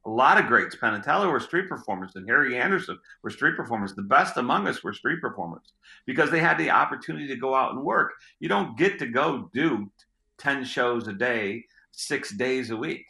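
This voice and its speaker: A middle-aged man with a gruff voice, a street magician answering interview questions in a rambling way, often repeating words as he thinks. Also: medium pitch, lively intonation, a little fast, bright, forceful tone, reverberant.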